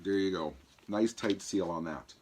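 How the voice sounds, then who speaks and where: Low-voiced man with a sore throat. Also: a little slow, darkish tone, slight background noise. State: sore throat from an infection, voice going in and out. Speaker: vlog host. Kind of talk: casual product demonstration to camera.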